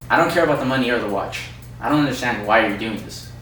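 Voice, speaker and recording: Man reading as distraught father, commanding master, mid-pitched voice, amateur stage reading, loud background noise. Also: animated, fast, echoey room, far from the mic, lightly acted. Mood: pleading. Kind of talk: amateur readers' theatre. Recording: noisy amateur recording, loud background hum.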